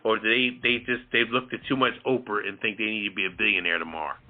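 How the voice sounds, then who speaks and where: A man's voice: on the low side, fairly even intonation, fast talker. Man, talk show co-host, muffled narrow-band audio, casual discussion, rambling, questioning.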